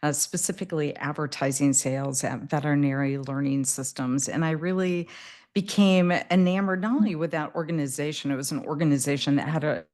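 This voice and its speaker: A woman's voice: sits low, fairly even intonation, brisk, a little mellow. A middle-aged woman from the American Midwest, answering interview questions in a thoughtful way, with many fillers and repeated words. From Midwest US, Indiana. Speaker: podcast guest.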